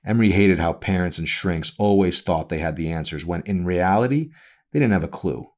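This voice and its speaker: A man reading a novel aloud as its author, in a brooding, dramatic narration style with deliberate phrasing. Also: low voice, darkish tone.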